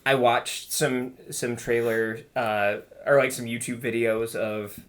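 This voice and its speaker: A man co-hosting a podcast, chatting casually and excitedly, with frequent laughs and lots of "like". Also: medium pitch, slow. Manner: excited, laughs often, says "like" a lot. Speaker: podcast co-host. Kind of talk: casual chat.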